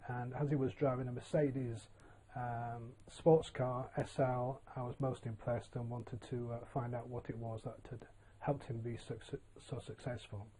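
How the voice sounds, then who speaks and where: An older man from Yorkshire telling his own career story in a relaxed, plain-spoken way, with frequent ums and uhs. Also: medium pitch, rough recording. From Yorkshire, England. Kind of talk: casual autobiographical monologue.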